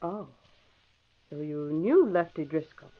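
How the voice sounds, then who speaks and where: An actress playing a poised, determined secretary sleuth with crisp, pointed delivery in an old radio drama. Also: female voice, low voice, expressive, slow pace, heavily acted. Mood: coolly probing. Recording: muffled old radio recording.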